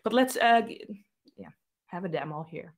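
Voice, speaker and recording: A woman's voice: on the low side, lively intonation, normal speed. A woman in her thirties with a Dutch accent, walking through a technical demo over a webcam from a small room. Her talk is casual and loose, full of fillers and restarts. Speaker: developer advocate presenter. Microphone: webcam video call.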